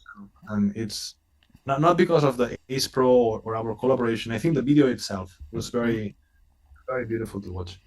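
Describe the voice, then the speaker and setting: Earnest non-native English-speaking company rep. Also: male voice, medium pitch, fairly quick, darkish tone, poor audio. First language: not English. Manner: earnest, repeats words while speaking. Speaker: company representative guest. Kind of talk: casual live stream chat.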